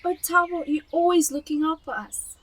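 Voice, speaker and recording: A woman's voice: very high-pitched, fairly even intonation, moderate pace, a bit bright. A woman acting a fussy, loving elderly mother and wife in an amateur radio drama. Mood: warm, fussing.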